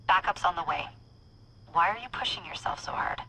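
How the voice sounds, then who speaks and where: A woman's voice: lowish voice, lively intonation, a little fast, vocal fry, background noise. A woman playing a concerned, businesslike mission handler in a video game, direct and natural.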